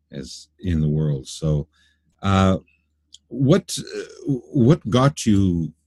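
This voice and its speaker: A man hosting a podcast interview, asking questions in a halting way with many ums and restarts. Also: low-pitched, dark tone, no room sound, studio quality. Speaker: podcast host.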